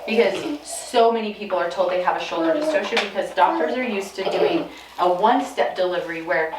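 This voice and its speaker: A woman with a soft, gentle voice casually explaining and telling stories to a group as a midwife. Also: on the low side, brisk. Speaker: midwife leading a group prenatal session. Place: midwife care space with group gathered. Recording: echoey, noisy room, distant mic.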